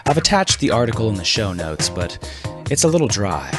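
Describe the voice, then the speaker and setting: A man's voice: lowish voice, sing-song, a little fast, music in the background. A man hosting a scripted comedy podcast with a wry, mock-serious delivery. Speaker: podcast host.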